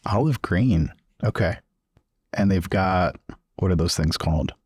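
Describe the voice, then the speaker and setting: A man's voice: low-pitched, unhurried, a little mellow, breathy edge, dry recording. A man chatting casually as a player in a tabletop roleplaying game.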